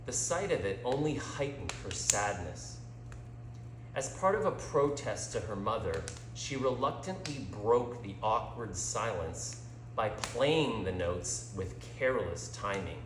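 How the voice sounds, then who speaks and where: A male storyteller narrates a scripted tale in an unhurried, theatrical way. His pitch is medium and swings widely as he reads. The sound is roomy, with clear echo around the voice. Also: lively intonation, a little hiss or hum. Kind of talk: scripted story narration, dramatic read-aloud.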